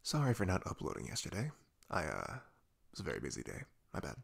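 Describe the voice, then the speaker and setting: Soft spoken asmr guy doing a channel update, lowish husky voice, half whispering. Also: wildly swooping pitch, quick pace. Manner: hushed, often slipping into whisper. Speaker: ASMR audio creator.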